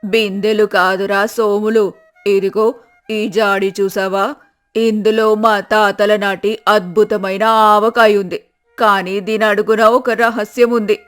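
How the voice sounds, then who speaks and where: A woman's voice: medium pitch, level tone, slow, clear, resonant tone, faint music behind, natural voice. A woman voicing a shrewd older village innkeeper in a folk tale, sly and persuasive. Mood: secretive. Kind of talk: dramatized folk tale acting.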